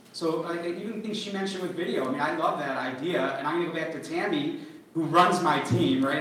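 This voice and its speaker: A man's voice: fairly high pitch, fairly bright tone, slight background noise. Upbeat male coach in echoey hall. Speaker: real estate coach on stage. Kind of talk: live seminar Q&A and role-play. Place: large conference hall. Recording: roomy hall, mic heard from a distance.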